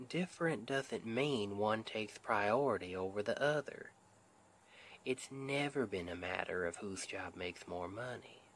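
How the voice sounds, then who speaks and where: A man's voice: on the low side, fairly bright tone, full character voice. A man playing a sweet, protective Southern husband with a Southern US accent, speaking in a folksy, soothing way in an intimate ASMR roleplay. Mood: reassuring, earnest. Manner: folksy, tender, unhurried, soothing.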